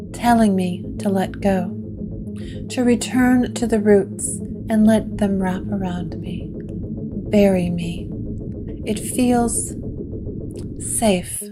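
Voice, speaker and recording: A woman playing a hushed, haunted young woman. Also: mid-range voice, close to an everyday voice. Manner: soft, hushed and hesitant. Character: haunted young woman drawn to dark power. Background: guitar music.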